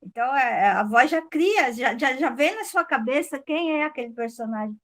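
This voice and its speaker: A woman's voice: high voice, lively intonation, normal speed. A Brazilian woman talking casually in a class discussion, searching for words and correcting herself.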